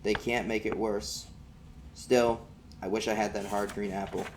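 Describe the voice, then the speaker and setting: A man's voice: lowish voice, flat delivery, unhurried, slight background noise. A man reading an audiobook as an amateur, narrating in the first person in a folksy vernacular.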